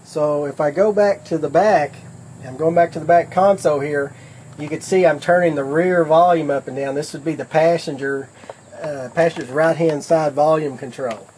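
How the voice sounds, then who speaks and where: Higher-voiced man demonstrating, noisy recording. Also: steady tone, moderate pace, a little mellow. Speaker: product demonstrator. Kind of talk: casual how-to demonstration.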